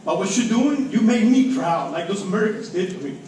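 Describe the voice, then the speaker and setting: A man's voice: sits high, animated, a little fast, a little mellow. A middle-aged man from Cuba with a Cuban accent, a native Spanish speaker, giving an emotional, emphatic, informal public speech. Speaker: public commenter at a school board meeting. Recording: very echoey room, distant mic, faint noise.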